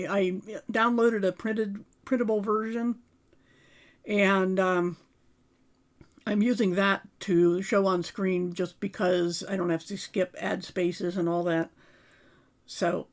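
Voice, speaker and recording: A woman talks to her livestream viewers with a voice made raspy by a sore throat and sinus pressure. She speaks at a middle pitch, stops for ums and uhs, and clears her throat often. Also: a little mellow. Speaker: livestream host. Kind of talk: casual livestream talk and article reading.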